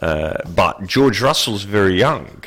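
A man's voice: lowish voice, expressive, slow, fairly bright tone. A man co-hosting a sports podcast, blunt and laid-back with a teasing streak. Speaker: podcast co-host. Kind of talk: casual sports chat. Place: small podcast studio.

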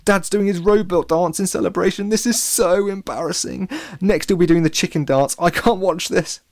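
A man's voice: medium pitch, big pitch swings, fast talker, close to an everyday voice. A man voicing a cheeky, defensive schoolboy in a children's story, emphatic and protesting. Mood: embarrassed. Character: cheeky schoolboy, defensive, boastful, easily embarrassed.

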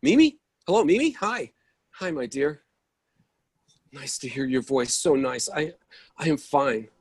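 A man's voice: medium pitch, lively intonation, breathy edge. A man reading the part of an impatient character who loses patience easily and needs his coffee, in a staged play reading. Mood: bickering. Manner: exasperated, quick to lose patience. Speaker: reader in a readers theatre play.

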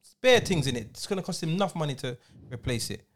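A man's voice: on the high side, lively intonation, fast, slight background noise. A man in his forties, co-hosting a podcast and telling stories in a slangy, emphatic way. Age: 40s. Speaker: podcast co-host, DJ. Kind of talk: casual chat.

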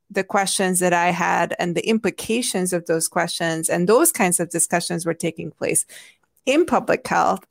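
A woman who grew up in Hungary, answering interview questions in a reflective way, often pausing with fillers and restarting her sentences. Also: fairly low pitch, creaky, no room sound, studio quality. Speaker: guest, anthropologist.

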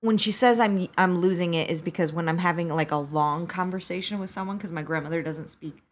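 A woman whose first language is Albanian, co-hosting a podcast and reading listener questions in a relaxed, hesitant way with many fillers. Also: on the low side, brisk, slight background noise. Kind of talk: casual chat.

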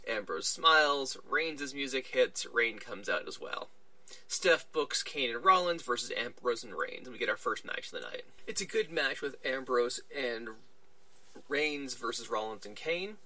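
A man's voice: mid-range voice, expressive, unhurried, pushed and bright. A man hosting a casual podcast, chatting through a wrestling show recap and acting out little quoted lines along the way. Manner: chatty, retelling events with little quoted voices. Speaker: podcast host.

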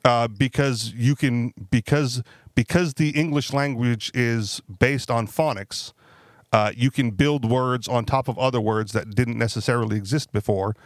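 A man's voice: mid-range voice, steady tone, unhurried, dry recording. A man co-hosting a podcast chat, talking loosely with fillers and frequent tag questions. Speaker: podcast co-host. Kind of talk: casual panel discussion.

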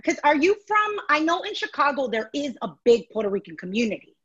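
A woman's voice: high-pitched, very animated, a little fast, a bit bright, dull audio. A woman from New York City co-hosting a podcast, chatty and full of "like" and "right?" asides. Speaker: podcast co-host. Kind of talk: casual podcast chat.

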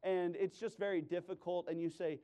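A man preaching in an emphatic sermon style, with sudden loud exclamations and playful, self-deprecating humor. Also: high-pitched, steady tone, brisk. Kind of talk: animated sermon with humorous storytelling.

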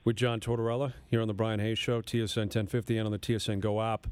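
A man's voice: on the low side, flat delivery, fast, darkish tone, clean tone, no room sound. A man hosting sports radio, asking questions in a conversational broadcast style. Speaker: sports radio host, interviewer. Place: radio studio.

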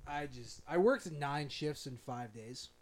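A man in his twenties chatting casually and crudely with friends on a podcast, swearing and getting excited. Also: on the high side, measured pace. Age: 20s. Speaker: podcast co-host. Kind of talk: casual chat among friends.

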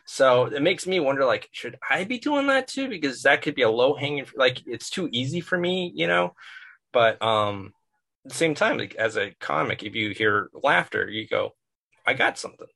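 A man's voice: mid-range voice, lively intonation, normal speed, creaky, bright, forceful tone. A man hosting a casual podcast, thinking aloud and asking long, open questions. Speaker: podcast host. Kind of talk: casual chat.

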